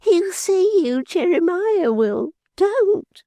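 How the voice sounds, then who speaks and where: A man's voice: very high voice, very animated, takes it slowly, dark tone, no echo, voice pushed up, heavily acted. A man reading an audiobook, voicing a frightened, superstitious servant woman with rustic, ungrammatical speech. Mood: fearful. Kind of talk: audiobook character voice.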